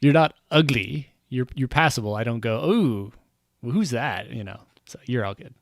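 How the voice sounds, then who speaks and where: A man with a gravelly, fry-edged voice talks casually and rambles over a game stream, wry and self-mocking. His voice is close and dry, with no room around it. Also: medium pitch, lively intonation, moderate pace, dry recording.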